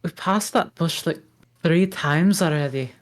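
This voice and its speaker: A woman's voice: fairly low pitch, unhurried, natural voice. A woman reading the part of a grumpy but decent male ogre in a table read, with a grumbling, exasperated delivery.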